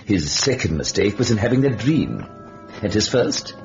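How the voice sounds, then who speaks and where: An actor playing an urbane, sardonic Devil, narrating a radio horror drama in a theatrical, taunting manner. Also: male voice, fairly low pitch, very animated, moderate pace, creaky. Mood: mocking. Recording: dull lo-fi old radio, music behind.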